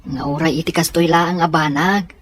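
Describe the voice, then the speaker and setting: A woman's voice: low-pitched, fairly even intonation, normal speed. A woman with a Filipino Ilocano accent playing a kind, encouraging adviser to a student in an audio drama.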